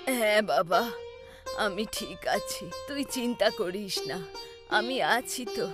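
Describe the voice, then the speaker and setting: An ailing village mother, voiced by a woman narrator, gentle and reassuring. The caring cartoon voice drops below the storyteller's pitch, over music. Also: lively intonation, slow.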